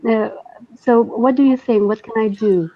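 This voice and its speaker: A woman from Afghanistan speaking as a caller on an audio-only line, conversational and hesitant, pausing between phrases. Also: a little high. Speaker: caller, student and mother. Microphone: audio-only internet call. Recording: dull, lo-fi call audio.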